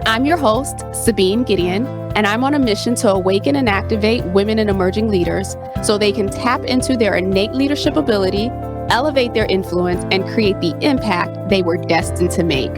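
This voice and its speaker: A woman's voice: medium pitch, a little fast, music in the background. A woman hosting a podcast, speaking in an effusive, motivational manner. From Haiti. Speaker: podcast host. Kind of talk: podcast intro and interview hosting.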